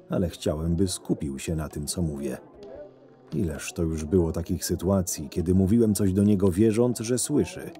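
A man acts as a scheming, cynical henchman telling his story in an audio drama. His voice is deep and mellow, his delivery measured and sardonic. Music plays softly underneath. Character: cynical, scheming male camp henchman recounting his days. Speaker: narrator and lead actor of an audio drama. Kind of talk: first-person scripted narration. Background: background music.

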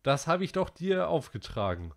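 A man's voice: on the high side, big pitch swings, moderate pace, creaky, pitched above the performer's own voice. A man voicing a teenage boy leader who speaks sternly.

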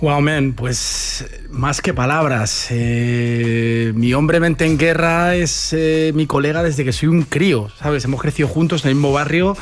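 Un hombre de Madrid, rapero invitado, que habla relajado y coloquial, con muletillas. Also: male voice, a little high, a little slow, few pauses. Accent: Madrid Spanish. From Spain. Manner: relaxed, slangy, many fillers like "¿no?" and "tío". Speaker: rapper guest. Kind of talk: casual interview answers.

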